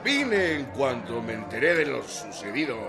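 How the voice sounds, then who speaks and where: An actor playing a self-assured, deferential army general in a radio drama, speaking formally and deliberately. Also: male voice, mid-range voice, very animated, vocal fry. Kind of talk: Spanish-language historical radio drama acting. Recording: music and crowd behind the voice.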